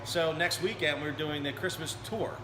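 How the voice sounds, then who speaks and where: A man with a slightly high voice tells his story in a loose, chatty way, doubling back on his words as he goes. He sounds as if he is in a brewery taproom. The room echoes, and a steady noise sits behind him. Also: normal speed. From Berlin. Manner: conversational storytelling with restarts. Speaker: brewery co-owner. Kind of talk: casual interview.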